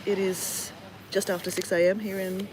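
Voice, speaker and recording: A man with a sore throat and a nasal, slightly higher voice talks casually to viewers on a live stream. He rambles on a beach at sunrise, speaking into a phone at arm's length. A faint outdoor hum sits behind him. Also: little pitch movement, moderate pace. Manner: chatty, rambling, greets viewers by name. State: sore throat, has flu. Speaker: travel vlogger.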